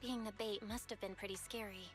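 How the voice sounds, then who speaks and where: A woman's voice: mid-range voice, fairly even intonation, fairly quick, long reverb tail, faint music behind, close to an everyday voice. An actress voicing a practical, caring young woman in a game, speaking matter-of-factly.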